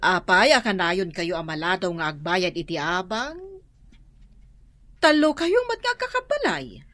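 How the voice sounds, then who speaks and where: Actress as an animated, nagging landlady. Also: fairly low pitch, measured pace, no room sound. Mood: scolding. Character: nagging, reproachful landlady demanding overdue rent. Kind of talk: Filipino radio drama acting in Ilocano.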